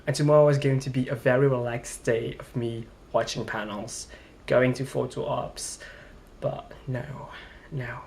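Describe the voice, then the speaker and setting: Slow-talking man. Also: mid-range voice, fairly even intonation, creaky, darkish tone. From Belgium, Flanders. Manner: rambling, hesitant, exasperated complaining. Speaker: vlogger. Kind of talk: casual vlog rant.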